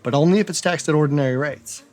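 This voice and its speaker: A man's voice: on the high side, quick pace, a little mellow, faint music behind, close to an everyday voice. Brief: man as a breezy, old-fashioned charmer. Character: charming, smooth-talking old-time gentleman. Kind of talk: comedic noir skit acting.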